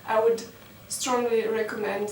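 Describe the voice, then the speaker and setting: A young woman from Romania speaks in a fairly high voice, answering interview questions earnestly and stopping often on fillers. She is recorded far from the mic in a roomy space with clear background noise. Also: flat delivery, unhurried. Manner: earnest, hesitant with many fillers. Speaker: interviewee, master's student.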